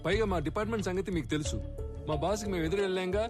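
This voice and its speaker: Man acting a wily policeman, film drama, high pitch, deferential and wheedling, music behind. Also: racing, close to an everyday voice. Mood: earnest, confiding. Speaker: film actor. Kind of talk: Telugu film drama dialogue. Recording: film soundtrack with loud music.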